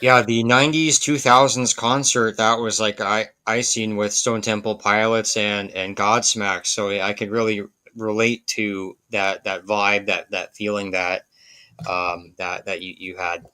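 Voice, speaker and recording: A man's voice: fairly low pitch, flat delivery, a little slow, bright, forceful tone, clean tone. A man in casual podcast chat, hesitant and searching for his words.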